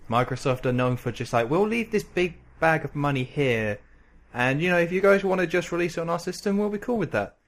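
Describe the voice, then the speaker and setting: A man's voice: on the high side, expressive, fairly quick. A man hosting a podcast in casual chat, with a wry, dry sense of humour. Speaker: podcast host.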